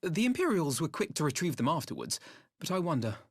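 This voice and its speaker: A man's voice: a little high, big pitch swings, fairly quick, breathy edge, no echo, clean studio sound, heavily acted. An actor playing an earnest, observant young man in a dramatic game scene, articulate and polite. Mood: thoughtful suspicion. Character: earnest, observant young male scholar, brother.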